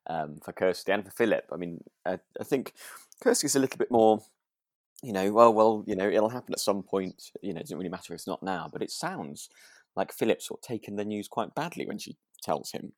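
Male podcast co-host, British accent, low pitch, casual conversational delivery, dry recording. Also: animated, brisk, dry recording. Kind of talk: casual chat.

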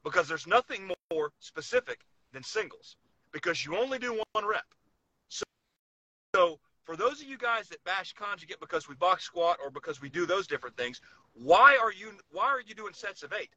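A man's voice: high voice, sharp tone, muffled recording. A man co-hosting a casual talk podcast, speaking in an emphatic, opinionated way and repeating himself to drive points home. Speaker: co-host, powerlifting coach.

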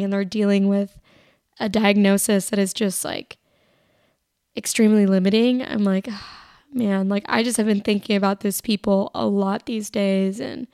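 A young woman with a raspy voice hosting her own podcast, chatting casually and rambling with plenty of "like", tired as she recovers from being sick. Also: mid-range voice, darkish tone, no echo, clean studio sound. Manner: rambling, filler-heavy, lots of "like". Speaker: podcast host. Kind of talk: casual solo podcast chat.

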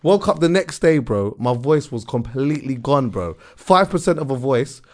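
A man's voice: mid-range voice, very animated, normal speed. A man chatting casually on a podcast, rambling and full of fillers like "bro" and "like". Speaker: podcast host. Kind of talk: casual chat.

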